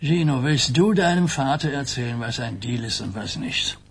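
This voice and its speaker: A man's voice: mid-range voice, expressive, moderate pace, slightly rough. An actor playing a domineering elderly mafia boss and father in a radio crime drama, curt and coarse-mouthed. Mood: stern, irritated. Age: older.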